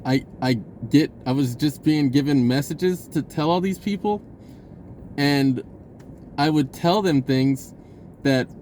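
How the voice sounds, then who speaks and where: A man telling a personal story in a casual, rambling vlog style, stammering, blurting and re-enacting conversations excitably. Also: mid-range voice, slight background noise. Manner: blurting, self-interrupting, stammering over phrases.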